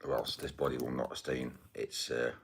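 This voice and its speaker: Very deep, gravelly British man. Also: flat delivery. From United Kingdom. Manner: halting, mumbly, with many fillers. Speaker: livestreamer. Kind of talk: casual livestream chat. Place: indoors, getting ready to go out.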